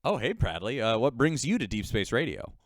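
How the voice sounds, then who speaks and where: A man acting as a friendly, hesitant radio host in a sci-fi drama. He speaks gently in a mid-pitched, lively voice with a dry, close sound. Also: fairly quick, no echo, lightly acted.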